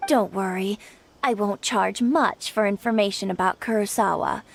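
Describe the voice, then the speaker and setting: An actress playing a shrewd merchant woman who sells information, talking in a sly, smooth way. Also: mid-range voice, moderate pace. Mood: teasing. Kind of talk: video game voice acting. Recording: game score behind.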